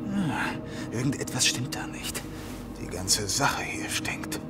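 An actor playing a gruff, threatening but doubting henchman in a German audio drama. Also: mid-range voice, very animated, slow, over loud music. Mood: doubtful.

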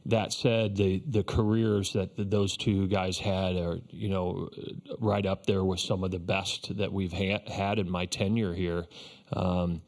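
A man in his fifties, a head baseball coach, answering questions at a postgame press conference in a measured, reflective way with frequent restarts, speaking into a table microphone. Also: low voice, level tone, darkish tone. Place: press conference room, indoors.

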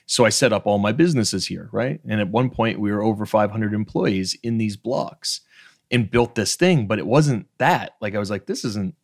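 A man's voice: on the low side, animated, normal speed, darkish tone, no room sound, clean studio sound. A man in his thirties from Canada's Maritimes hosting a podcast interview over a video call, talking frankly and emphatically and swearing freely. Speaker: podcast host. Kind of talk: casual interview chat. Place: home room with bookshelves, video call. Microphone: studio microphone on stand.

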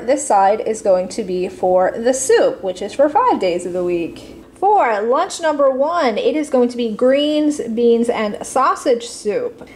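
A woman with a somewhat high voice walks through budget recipes in a relaxed, step-by-step way, pausing with casual fillers. Faint background noise sits under her voice. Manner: friendly step-by-step instructions with fillers. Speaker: cooking channel host. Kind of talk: casual cooking voice-over. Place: home kitchen.

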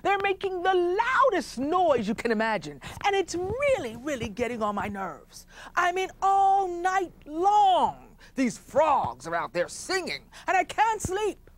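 A woman's voice: very high-pitched, sing-song, a little slow, hardly any pauses, slight background noise, voice pushed up, full character voice. A woman voicing a pompous, irritable male chief who whines about losing sleep and barks orders at his court. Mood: complaining. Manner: whiny, demanding, escalating to frantic shouts.

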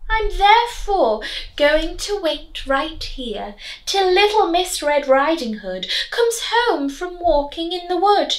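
A woman's voice: very high voice, big pitch swings, takes it slowly, echoey room, pitched above the performer's own voice, full character voice, low-quality recording. Woman putting on a greedy, theatrical wolf. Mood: greedy, wailing. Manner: theatrical, relishing. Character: greedy, sly, hungry storybook male wolf.

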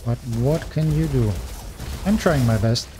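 A man's voice: mid-range voice, lively intonation, unhurried, background noise. A man with a non-native English accent giving casual gameplay commentary, reading upgrade text aloud and chatting to viewers.

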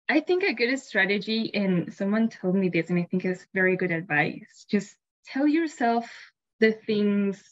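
Woman, grad student, reassuring advice, mid pitch, lo-fi muffled recording. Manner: warm, reassuring, direct. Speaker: graduate student panelist. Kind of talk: casual advice on a panel.

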